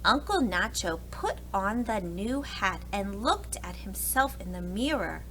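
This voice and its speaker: Animated woman reading to preschoolers, unhurried. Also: mid-range voice, a little hiss or hum, rough recording. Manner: expressive, deliberate. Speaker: preschool teacher. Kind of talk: children's storybook read-aloud.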